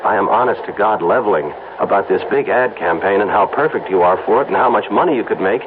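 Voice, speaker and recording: An actor playing a flustered, stammering advertising executive in a 1970s radio drama, excitable and smitten. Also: male voice, lowish voice, quick pace, lightly acted. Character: smitten, flustered advertising man, fast-talking. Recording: tinny lo-fi old radio broadcast with hiss.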